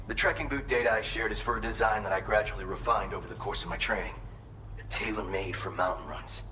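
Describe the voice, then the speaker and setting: A man's voice: fairly low pitch, pushed and bright, slight background noise, poor audio. A man acting a folksy, earnest mountain porter in a video game, talking conversationally and with pride. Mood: conversational, proud.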